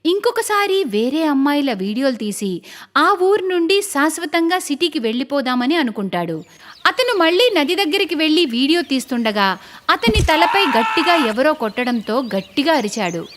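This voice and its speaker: A woman's voice: high voice, very animated, moderate pace, background noise. A woman narrating a scripted story in a dramatic storytelling style.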